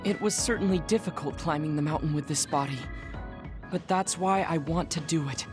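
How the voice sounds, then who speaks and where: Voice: mid-range voice, lightly acted. A performer in an English game dub voicing a psychic decades old in a child's body, speaking formally and precisely, proud but insecure, over music. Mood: earnest, determined. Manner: formal, precise, measured speech. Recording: music behind the voice.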